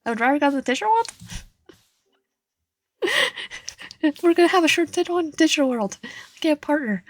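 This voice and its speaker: A woman's voice: high voice, sing-song, moderate pace. A woman gaming host reading a visual novel aloud with commentary, often stumbling over words. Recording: echoey room, faint music behind. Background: faint music.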